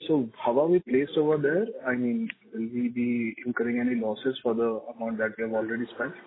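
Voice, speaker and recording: A man's voice: medium pitch, brisk. Polite analyst man on a noisy phone line. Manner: polite, addresses executives as sir. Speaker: analyst asking questions. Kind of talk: earnings call questions. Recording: tinny phone line with clear noise.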